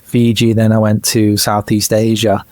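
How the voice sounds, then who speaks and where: A man's voice: lowish voice, monotone, moderate pace, dark tone, no room sound. A man hosting an interview, curious and eager, chiming in with questions and his own stories. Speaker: interview host. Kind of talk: casual interview chat.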